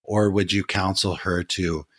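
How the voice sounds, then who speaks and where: Rambling man in his fifties, low voice. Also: fairly even intonation, unhurried, a bit bright, slightly rough, no echo, studio quality. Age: 50s. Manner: rambling, restarting questions. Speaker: podcast host. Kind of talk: casual interview conversation.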